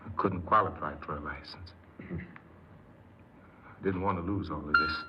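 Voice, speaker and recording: An actor playing an earnest, insecure paroled ex-convict who tells his story haltingly in a 1950s radio drama. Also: sits low. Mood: regretful, hesitant. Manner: hesitant, halting, repeats words. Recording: noisy muffled 1950s radio recording.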